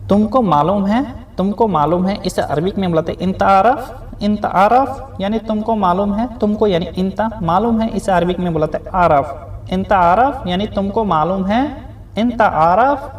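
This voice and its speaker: A man's voice: high voice, moderate pace. A man teaching spoken phrases in a beginner lesson, saying each phrase, repeating it and explaining it word by word. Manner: addresses viewers as friends, frequent "okay". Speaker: Arabic teacher.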